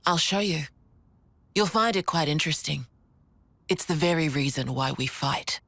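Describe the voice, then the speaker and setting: A woman's voice: low-pitched, normal speed, no room sound. A British actress playing a composed, frank woman who leads a rebel force in a video game. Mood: grave, explanatory. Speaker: resistance leader. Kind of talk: game cutscene acting. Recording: loud game music underneath. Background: game score.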